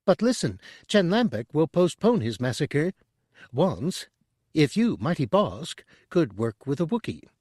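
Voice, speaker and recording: A man voicing a small, squeaky, nervous mouse-like male alien who stammers eagerly. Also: sing-song, a little slow, darkish tone, dry recording, studio quality.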